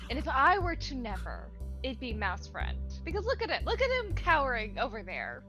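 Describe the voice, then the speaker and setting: A woman's voice: sits high, huge pitch swings, moderate pace, over music. A woman playing a blunt, suspicious adventurer who insists and repeats herself.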